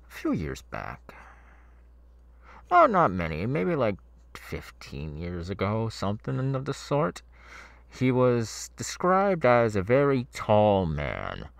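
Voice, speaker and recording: A man plays a masked demon's henchman in a horror ASMR roleplay, sinister and chatty. The voice is low and gravelly, recorded dry and close. He rambles haltingly and takes his time, soothing and threatening at once. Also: no echo, full character voice. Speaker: ASMR voice actor.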